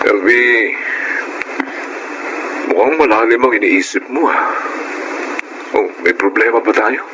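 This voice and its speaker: A man's voice: lowish voice, measured pace, close to an everyday voice. An actor playing a concerned, protective middle-aged father in a Filipino radio drama. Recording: noisy radio drama audio.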